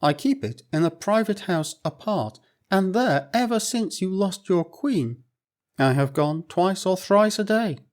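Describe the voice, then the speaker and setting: A proud, loyal lady of the court, voiced by a man reading a storybook aloud. She speaks in a stately, measured way, lifted a little higher than the reader's own voice. Her delivery is slow and unhurried. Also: expressive, a little mellow. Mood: solemn.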